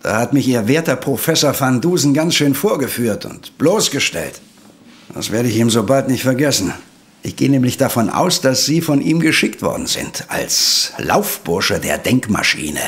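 A man acts a grumpy police inspector in an audio drama. His medium-pitched voice moves widely as he grumbles. He is curt, gruff and full of resentment. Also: lively intonation, normal speed, close to an everyday voice. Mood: irritated. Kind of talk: German audio drama acting.

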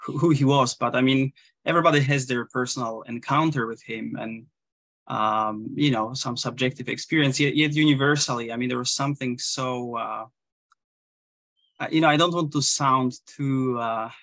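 Polish guy on a video call, kinda gravelly, lots of um and restarts, dry webcam sound. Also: medium pitch, steady tone, normal speed, fairly bright tone, dry recording. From Poland. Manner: hesitant, many restarts and fillers. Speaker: guest, Zen priest. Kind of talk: casual interview over video call. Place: small room indoors.

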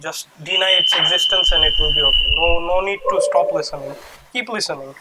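A man's voice: a little high, fast talker, a loud crowd, poor audio. A man hosting a casual podcast chat, switching between English and Bengali. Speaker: podcast host.

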